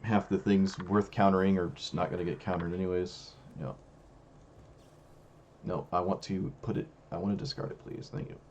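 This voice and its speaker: Young male streamer, low voice, hoarse and fading, muttering self-critical game commentary on a dull lo-fi mic. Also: flat delivery, moderate pace, a little mellow, slight background noise. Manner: musing, self-critical, talks to himself. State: losing his voice, hoarse. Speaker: streamer playing a card game. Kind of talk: casual gameplay commentary.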